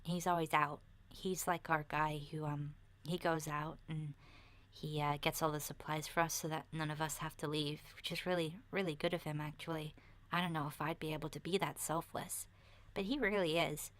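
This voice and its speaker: A woman voicing a chatty, candid young girl surviving a zombie apocalypse in a soft, breathy, half-whispered ASMR roleplay, talking in a hesitant, rambling way. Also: deep voice, steady tone, normal speed. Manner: hesitant, rambling, youthful casual phrasing.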